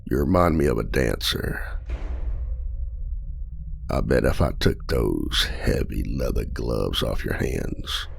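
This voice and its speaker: A man's voice: big pitch swings, slow, long pauses, no room sound, heavily acted. A man playing a charming imprisoned male outlaw trickster in an ASMR roleplay, teasing and flirtatious in a silky voice. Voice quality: deep gravelly voice.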